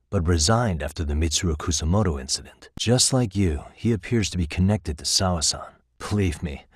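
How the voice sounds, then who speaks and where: A man in his thirties voicing a calm, analytical private detective in a video game, speaking in a measured, conversational way. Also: sits low, no room sound, studio quality. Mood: polite, probing. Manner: measured, methodical, reasoning aloud. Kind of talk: English video game voice acting, conversational dialogue.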